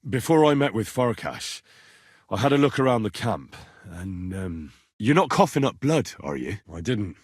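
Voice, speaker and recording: A courteous young medieval man-at-arms for a video game. His mid-range voice is husky and moves expressively up and down. He speaks plainly and earnestly, deferring to his betters, in a very dry recording. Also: fairly low pitch, big pitch swings, moderate pace, dry recording. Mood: grave, matter-of-fact. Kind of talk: video game character dialogue.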